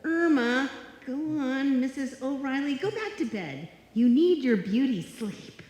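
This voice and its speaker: Wry, exasperated female roommate played by a woman, high-pitched, radio comedy, reverberant live stage recording. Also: expressive, measured pace, a little mellow. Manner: wry, sarcastic. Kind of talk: live old-time radio comedy acting. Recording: echoey hall, live stage performance.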